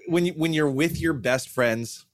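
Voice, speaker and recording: A man's voice: fairly high pitch, measured pace, no echo, clean studio sound. A man chatting casually in a slangy, exclamatory way. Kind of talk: casual chat.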